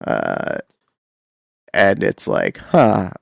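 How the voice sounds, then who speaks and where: Low, creaky-voiced Canadian man chatting. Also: huge pitch swings, no room sound, studio quality. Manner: hesitant, reflective, halting. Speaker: radio show co-host. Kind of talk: casual chat.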